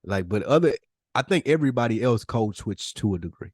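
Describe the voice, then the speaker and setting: American dude podcast host, casual debate, emphatic n slangy, voice jumps all over, dry sound. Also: male voice, medium pitch, animated, a little fast, darkish tone, no room sound. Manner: emphatic, insistent, repeats points, uses slang and profanity. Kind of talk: casual debate between friends.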